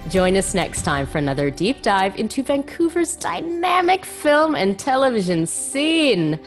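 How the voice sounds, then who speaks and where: Expressive woman hosting a podcast. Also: on the high side, a little slow, faint music behind. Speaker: podcast host. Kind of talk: warm interview chat and show sign-off.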